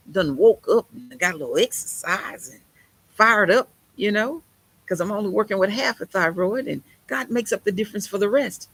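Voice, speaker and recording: A woman's voice: medium pitch, slightly rough. A woman preaching a sermon in an emphatic, conversational way, with folksy turns of phrase.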